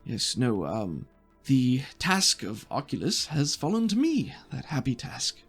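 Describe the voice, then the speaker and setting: A game master voicing a polite, precise male bureaucrat in a tabletop game, speaking in formal, hesitant, measured phrases. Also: medium pitch, wildly swooping pitch, husky, soft background music, exaggerated voice. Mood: formal, concerned.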